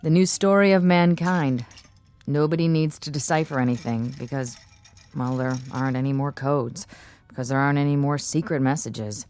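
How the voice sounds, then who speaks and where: An actress playing a thoughtful office colleague, informal and musing, in a radio drama. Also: female voice, deep voice, moderate pace, darkish tone, smooth, close to an everyday voice. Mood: casual, musing. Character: casual, thoughtful female office colleague of a codebreaker.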